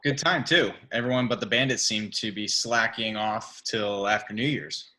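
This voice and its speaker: A man playing a firm, principled billionaire businessman in a measured, confrontational way at an amateur table read. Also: on the low side, pushed and bright. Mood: dry.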